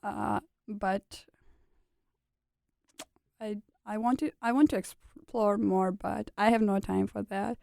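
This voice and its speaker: Pakistani woman with a gravelly mellow voice. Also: medium pitch, steady tone, takes it slowly, pauses often, no echo, clean studio sound. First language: a regional language of Pakistan. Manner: earnest, short plain sentences, laughs often. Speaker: graduate student guest. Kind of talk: casual interview chat.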